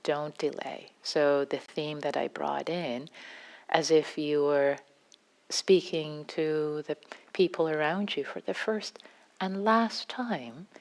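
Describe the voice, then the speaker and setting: A woman with a Farsi accent, a meditation teacher giving an unscripted dharma talk in a gentle, halting way with many restarts. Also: deep voice, steady tone. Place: meditation hall.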